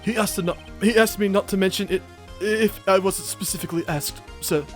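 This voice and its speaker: Bellboy witness, played by a man: jittery, obsequious, halting, raised pitch, game voice-over with music behind. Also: steady tone, a bit bright. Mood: nervous, deferential. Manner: hesitant, stammering, obsequious. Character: nervous, deferential male hotel bellboy on witness stand. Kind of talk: improvised video game character voice-over.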